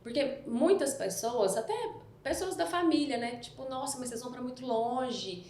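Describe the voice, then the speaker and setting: A woman with a softened Mineiro accent, a podcast guest telling her story in a rambling, self-correcting way. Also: sits high, animated, moderate pace. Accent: Mineiro Brazilian Portuguese, softened. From Minas Gerais, Brazil. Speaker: guest, entrepreneur. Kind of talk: casual podcast chat. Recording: echoey room, faint ambience.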